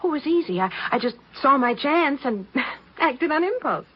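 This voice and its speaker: A woman's voice: high voice, lively intonation, normal speed. An American woman playing a naive, impulsive eighteen-year-old stowaway, hesitant and pleading, in a 1950s radio drama. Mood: cheerful, pleading. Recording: dull, lo-fi 1950s radio recording.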